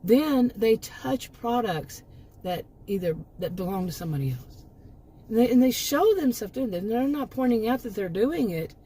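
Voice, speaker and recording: A middle-aged woman talks straight to her viewers in a rambling, emphatic way, doubling back on her words. Her voice is mellow and warm, with a hoarse edge, since she is losing it. A faint hiss of noise sits under the recording. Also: fairly high pitch, animated, normal speed, low-quality recording. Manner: rambling, emphatic, with frequent restarts and asides. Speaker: commentary channel host. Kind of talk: casual opinion monologue.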